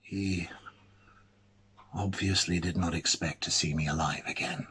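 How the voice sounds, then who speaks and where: A man playing an ailing, lonely, fussy bachelor academic in a dramatic ghost-story monologue, musing hesitantly and theatrically in a husky voice. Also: deep voice, steady tone, a little slow, fairly bright tone, heavily acted. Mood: worried. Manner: hesitant, musing, theatrical, with murmured asides and swelling emphasis.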